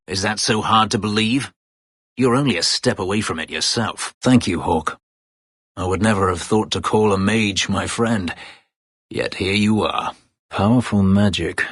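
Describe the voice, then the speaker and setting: Game role: bitter, brooding elven fugitive slave; deep male voice, terse and formal, recorded close and dry. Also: fairly bright tone, slightly rough, no echo, heavily acted. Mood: sombre, guarded. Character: brooding, bitter escaped slave elf warrior, adult male. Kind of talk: video game character dialogue.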